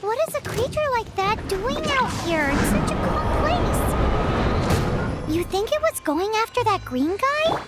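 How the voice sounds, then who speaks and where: A woman voicing a tiny, excitable, childlike fairy companion in a video game, with a squeaky voice. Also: measured pace, loud music behind the voice, full character voice. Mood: puzzled.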